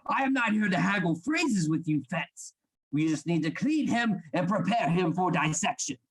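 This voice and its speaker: Man playing a husky, domineering Victorian schemer. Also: high voice, lively intonation, normal speed, exaggerated voice. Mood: cold, controlling. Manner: theatrical, commanding, coaxing formal speech. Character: domineering, scheming male anatomy-lab assistant in Victorian Scotland. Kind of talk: live radio play acting.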